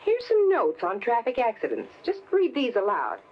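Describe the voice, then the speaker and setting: An actress playing a coaxing, affectionate sergeant's wife in a 1950s radio skit. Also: female voice, fairly high pitch, wildly swooping pitch, normal speed. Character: doting, encouraging, slyly managing sergeant's wife. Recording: muffled vintage radio, faint noise.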